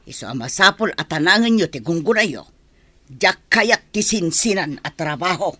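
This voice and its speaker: A woman's voice: lowish voice, lively intonation, moderate pace, bright, forceful tone, no echo. A woman with an Ilocano accent playing a bossy, gossipy senior kitchen maid in a village comedy radio drama.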